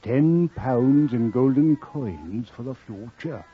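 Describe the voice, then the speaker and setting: An actor playing a sly, ominous fortune-telling thief with a husky voice and incantatory delivery in a 1950s radio drama. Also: male voice, medium pitch, lively intonation, slow pace, a little hiss or hum. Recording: muffled old 1950s radio recording.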